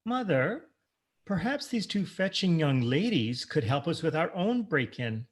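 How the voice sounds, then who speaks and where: Man acting a polite, higher-pitched mama's boy. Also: sing-song, measured pace. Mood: earnest, deferential. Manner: formal, deferential. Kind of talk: comic old-time radio play acting.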